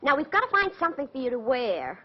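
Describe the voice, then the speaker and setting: An actress playing a brisk, bossy woman running an escort agency, giving orders and quick retorts in a 1940s British film comedy. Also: sits high, very animated. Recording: dull 1940s film soundtrack with faint hiss.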